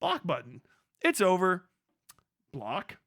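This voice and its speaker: A man's voice: sits high, huge pitch swings, slow pace, a bit bright, no room sound, clean studio sound. A man hosting a livestream with casual, profane commentary, sarcastic and incredulous, often repeating phrases for emphasis. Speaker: livestream host. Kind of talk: casual commentary to a live audience.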